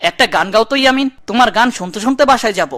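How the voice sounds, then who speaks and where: A woman's voice: on the high side, animated, racing, noisy background, lightly acted. A woman voicing a bossy, teasing young man in an amateur cartoon, colloquial and Bangladeshi-accented. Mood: relieved. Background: loud music.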